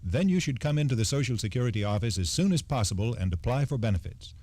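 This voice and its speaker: A man giving a scripted 1960s radio explanation as an expert, measured, formal and instructive. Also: mid-range voice, lively intonation, dark tone, dry recording. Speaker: Social Security expert guest.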